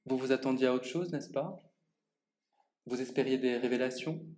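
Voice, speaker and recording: A man reading his own first-person monologue aloud at a public reading in an art gallery, speaking to the audience in a measured, theatrical way. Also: medium pitch, a little mellow. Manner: measured, theatrical delivery addressing the audience directly. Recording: echoey gallery room.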